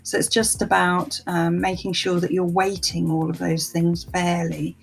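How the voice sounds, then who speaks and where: A woman speaking as an interview guest in a thoughtful discussion, explaining things in a measured, structured way. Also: lowish voice, monotone, creaky, faint music behind, rough recording. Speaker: interview guest, senior product director.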